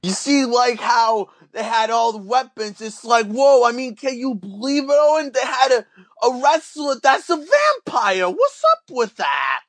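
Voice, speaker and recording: A man's voice: very high-pitched, lively intonation, unhurried, fairly bright tone, no room sound, full character voice. A man playing a dim, earnest Canadian podcast character with a put-on Canadian accent and a rambling, folksy manner. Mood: amazed. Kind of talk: comedy podcast character banter.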